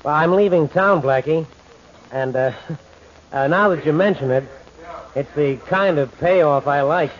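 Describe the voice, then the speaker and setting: A man's voice: fairly high pitch, measured pace, long pauses, close to an everyday voice. An actor playing a frightened, edgy man on the run in an old radio drama, stammering and suspicious. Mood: nervous, forced cheer. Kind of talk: 1940s radio acting. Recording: dull vintage radio recording.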